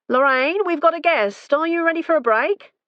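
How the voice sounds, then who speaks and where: A woman's voice: mid-range voice, expressive, moderate pace, no room sound, dull audio, natural voice. A woman voicing a cheerful, chatty retired man proudly playing host in an audiobook. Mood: jovial. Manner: jovial, chatty.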